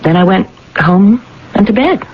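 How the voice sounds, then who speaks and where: A woman's voice: medium pitch, expressive, measured pace. An actress playing a troubled woman in her thirties who confides hesitantly and earnestly, in 1980s radio drama style. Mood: matter-of-fact recollection. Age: 30s. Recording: dull, hissy old radio broadcast recording.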